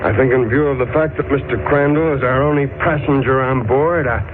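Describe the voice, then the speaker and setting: An actor playing a suave, scheming sea captain with formal, sardonic airs in a 1940s radio drama. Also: male voice, mid-range voice, fairly even intonation, fairly quick, natural voice. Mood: smooth, calculating. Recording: noisy, tinny 1940s radio recording.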